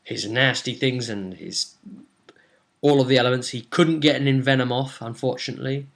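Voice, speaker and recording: Male game master, young adult, average pitch, casual and digressive vlog delivery with animated asides. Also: normal speed, creaky. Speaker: tabletop role-play game master and vidcast host. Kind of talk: casual rambling session recap to camera. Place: small indoor room at home.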